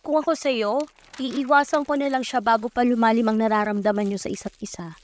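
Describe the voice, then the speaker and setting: An actress playing a brash, loyal and blunt young best friend in an audio drama, frank and emphatic. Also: female voice, a little high, steady tone, brisk, lightly acted. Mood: warning. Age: young adult. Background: music.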